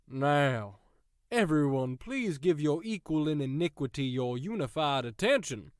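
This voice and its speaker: An actor playing a gloating prison overseer in an audio drama, mock-cheerful and grandiloquent. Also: male voice, fairly high pitch, expressive, measured pace, clean tone, dry recording, clean studio sound. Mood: gleeful menace.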